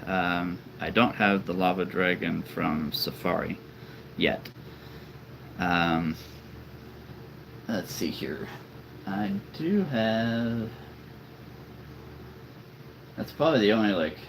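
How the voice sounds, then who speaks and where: A man with a very deep voice, chatting casually and rambling on a live stream as a toy-collecting channel host. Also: gravelly, fairly bright tone, a little hiss or hum, poor audio. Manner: chatty and rambling, laughs often.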